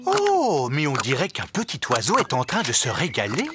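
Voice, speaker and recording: An expressive storyteller narrating a children's cartoon from a script. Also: medium pitch, normal speed, over loud music.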